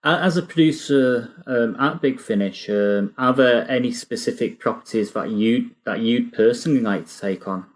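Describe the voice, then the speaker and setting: A man hosting a casual interview podcast, speaking in a halting, hesitant way. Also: mid-range voice.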